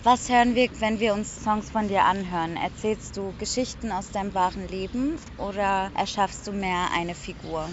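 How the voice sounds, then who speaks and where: A woman's voice: medium pitch, steady tone, moderate pace, few pauses. Woman interviewer, slightly dull outdoor sound. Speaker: podcast interviewer. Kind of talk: casual interview. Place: outdoors on a picnic blanket in a park. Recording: dull outdoor recording, faint city noise.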